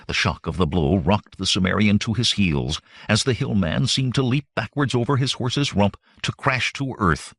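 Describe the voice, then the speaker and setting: Deep, expressive male narrator. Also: dry recording, clean studio sound. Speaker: audiobook narrator. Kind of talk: dramatic scripted narration of fantasy adventure fiction.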